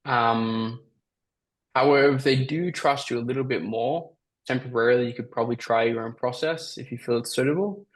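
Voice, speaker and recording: A man with a slightly raspy voice, coaching on a call and giving casual, emphatic advice as a sales coach. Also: medium pitch, moderate pace. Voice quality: raspy from talking all day. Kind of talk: coaching call, answering questions.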